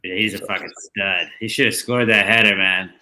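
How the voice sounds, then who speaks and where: A young man with a very bright, sharp voice co-hosts a loose sports watchalong. He rambles through his points with constant "like" and "dude", swearing casually. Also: on the low side, fairly even intonation, moderate pace. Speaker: podcast co-host.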